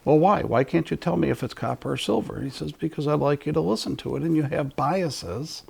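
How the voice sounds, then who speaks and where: A man's voice: on the high side, a little fast, gravelly, a little mellow, slightly rough. A man hosting an audio channel, talking casually to his listeners in a rambling way, often restarting sentences mid-thought.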